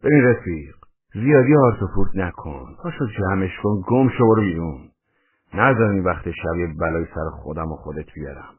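Casting: man as brash male trespasser, argumentative bluster, pitch leaping about, boxed into a tinny narrow band. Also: lively intonation, breathy edge. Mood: threatening. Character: brash, blustering male intruder, quick to argue. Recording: tinny band-limited radio-like sound.

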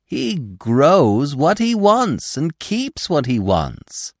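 A proud, naive young deer played by a man from a storybook. He talks in a superior, self-satisfied way, raised in pitch and unhurried, with lively swings of tone. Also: no echo, clean studio sound, heavily acted. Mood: proud, boastful. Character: boastful, naive young male deer, proudly telling wonders. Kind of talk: storybook character voice.

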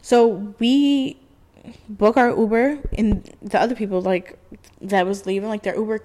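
Young woman podcast cohost, kinda nasal and high, chatty, acts out what people said. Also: a little hiss or hum. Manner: fast, animated, quotes people in dialogue. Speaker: podcast co-host. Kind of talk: casual storytelling chat.

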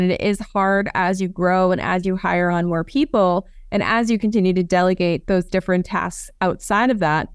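An upbeat woman host asks questions and agrees readily, keeping the talk encouraging. Her voice is smooth and clear at an ordinary pitch, and the sound is close and dry. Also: no echo. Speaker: podcast host. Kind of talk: casual interview.

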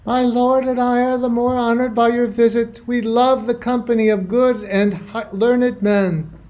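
A man plays a queen in a radio drama, in an extremely high voice. The queen is gracious and soothing, with polite, placating speech. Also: level tone, unhurried, lo-fi sound. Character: gracious, soothing queen, wife of King Herod. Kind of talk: radio play acting.

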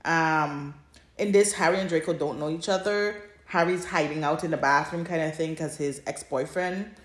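A woman's voice: sits low, moderate pace. A woman co-hosting a podcast, summarizing stories in a casual, chatty way. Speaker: podcast co-host. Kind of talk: casual chat summarizing fan fiction. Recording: echoey room.

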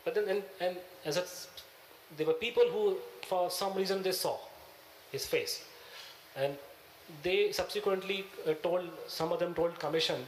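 Punjabi accent guy telling a story in interview, lots of pauses, slightly high voice, roomy echo. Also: a little hiss or hum. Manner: halting, searching for words. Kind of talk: recounting, conversational.

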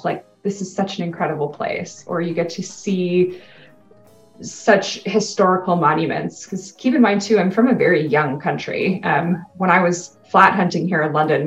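A Canadian woman with a lowish voice chats easily as an interview guest, upbeat and quick to laugh. Her voice comes through a dull, muffled line in an echoey room. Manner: upbeat, laughs often, chatty. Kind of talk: casual interview chat.

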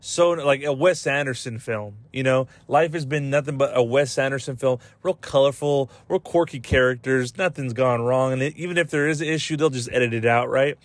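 A man's voice: mid-range voice, fairly quick, hardly any pauses, dry recording. A man hosting a podcast, rambling casually and comedically in slangy, profane English as he retells everyday exchanges. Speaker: podcast host.

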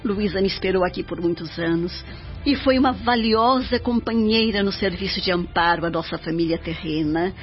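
A woman playing a serene, devoted older mother spirit in a radio drama, speaking in a solemn, measured, instructive way. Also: mid-range voice, lightly acted. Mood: solemn, tender. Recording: dull radio drama track with music.

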